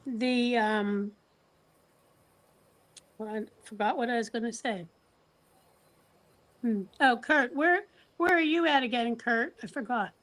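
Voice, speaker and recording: A woman with an American accent hosts a relaxed live chat. Her voice is on the high side and she talks very slowly. Her delivery is halting, with thoughts left hanging as she goes. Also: rough recording.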